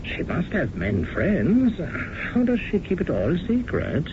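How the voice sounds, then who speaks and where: A flamboyant stage director, all doting charm and little chuckles, his voice bouncing up and down and sitting a touch high. He comes through a muffled old radio broadcast with a steady hiss behind him. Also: a little slow, heavily acted. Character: effusive, fussing male theater director. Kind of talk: 1970s radio drama acting.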